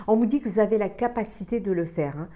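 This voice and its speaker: A woman with a cold reads cards for the week at her own even pace. Her voice is mellow and warm. She hesitates often, filling gaps and repeating words as she goes. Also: medium pitch, fast talker. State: has a cold. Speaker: tarot reader. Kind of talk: weekly astrology card reading.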